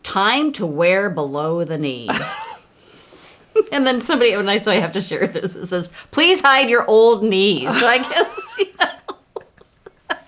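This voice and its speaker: A woman's voice: medium pitch, wildly swooping pitch, slow, pauses often, a bit bright. A woman in her sixties chatting casually and laughing as she reads comments aloud. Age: 60s. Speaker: guest co-host. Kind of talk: casual chat.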